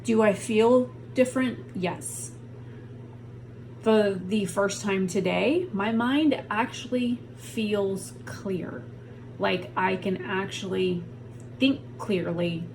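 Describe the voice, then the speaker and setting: A woman's voice: medium pitch, darkish tone, slight background noise, low-quality recording. A woman vlogger talking casually and frankly to the camera from home while in pain, rambling about her day. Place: at home on a heating pad.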